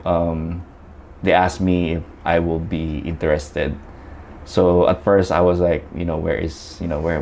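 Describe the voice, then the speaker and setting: A man from the Philippines speaks in a very low voice, telling his story as an interview guest. He talks chattily, with many fillers and restarts. Faint noise sits behind him. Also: a little mellow.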